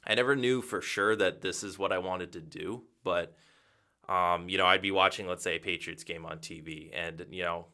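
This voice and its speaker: A man in his twenties from Massachusetts, a radio sports broadcaster answering interview questions in a measured, thoughtful way. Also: sits low, fairly even intonation, bright, forceful tone, smooth. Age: 20s. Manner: measured and thoughtful, frequent "um" and "you know".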